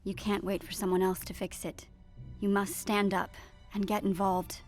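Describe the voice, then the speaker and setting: A determined young female senator from an animated drama, played by an actress. Her mid-pitched voice is earnest and assertive, with dramatic music clearly audible behind it. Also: moderate pace, natural voice. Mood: urgent, insistent. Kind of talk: animated series voice acting.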